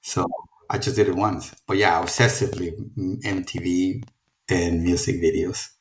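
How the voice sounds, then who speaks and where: A man's voice: low voice, fairly even intonation, normal speed, reverberant, a little hiss or hum, poor audio. A middle-aged man from Mexico with a Mexican accent, a film producer talking casually in an interview and rambling through many restarts and fillers.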